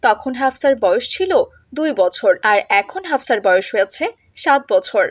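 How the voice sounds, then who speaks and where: A woman's voice: on the high side, lively intonation, normal speed, no echo. A woman reading scripted narration for a children's moral story.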